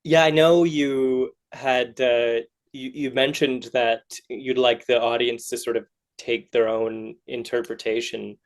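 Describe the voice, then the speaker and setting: A man hosting a casual interview, asking questions in a halting way and often rephrasing them midway. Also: mid-range voice, level tone. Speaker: podcast host, interviewer.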